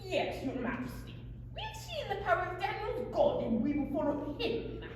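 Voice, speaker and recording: A scheming, flattering puppet-show advisor voiced by a woman has a very high, swooping voice. It rings through a very echoey hall. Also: normal speed, distant mic, exaggerated voice. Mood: submissive. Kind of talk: scripted church puppet show acting. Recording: very echoey hall with background noise.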